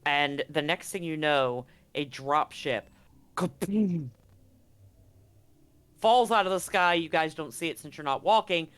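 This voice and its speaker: Game master, improvised tabletop narration, medium pitch, dramatic pauses, drawn-out emphasis. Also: a bit bright, clear, resonant tone.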